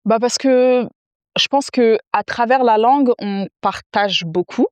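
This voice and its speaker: A woman's voice: a little high, measured pace, a little mellow, clear, resonant tone, no room sound, lo-fi sound. A woman from France, a mother living abroad, talking thoughtfully as a podcast guest with frequent hesitations. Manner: thoughtful, hesitant with many fillers. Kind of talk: casual interview chat.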